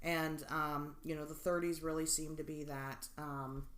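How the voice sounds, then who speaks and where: A woman's voice: low-pitched, flat delivery, measured pace, echoey room. A woman in her late twenties co-hosting a podcast, chatting casually, rambling with lots of fillers and frequent laughter. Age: 20s. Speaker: podcast co-host. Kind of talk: casual chat.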